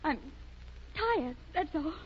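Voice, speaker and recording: A woman's voice: sits high, lively intonation, slow. An actress playing an earnest, anxious, guilt-burdened young woman in a 1930s radio melodrama. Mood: shaken. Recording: muffled, noisy 1930s radio recording.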